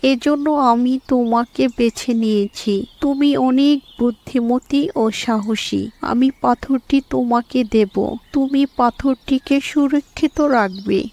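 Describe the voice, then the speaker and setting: A woman voicing a kindly, protective guardian fairy in a cartoon, measured and reassuring. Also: sits high, darkish tone. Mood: encouraging. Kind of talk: Bengali cartoon character acting.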